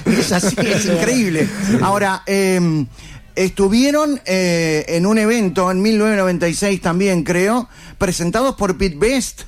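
Man, Argentine accent, high-pitched voice, interview host, informal, conversational. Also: measured pace.

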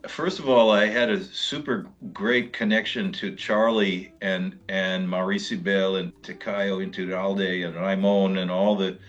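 A man's voice: on the low side, fairly bright tone, lo-fi sound. A man with an American accent, a guest musician answering interview questions in a friendly, hesitant way, often repeating words. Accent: American English. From United States. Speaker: guest jazz guitarist.

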